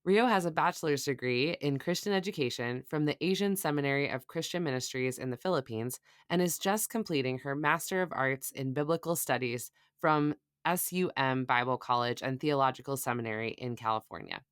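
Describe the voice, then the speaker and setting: A woman's voice: extremely low pitch, brisk, hardly any pauses, clean tone, dry recording, clean studio sound. A woman co-hosting a podcast, introducing a guest and asking affirming, thoughtful questions. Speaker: podcast co-host. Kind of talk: podcast interview.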